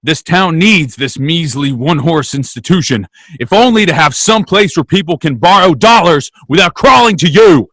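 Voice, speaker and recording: An actor playing an earnest, frustrated small-town young man in old-time radio style, folksy and halting. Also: on the high side, animated, fairly bright tone, dry recording, studio quality. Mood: indignant.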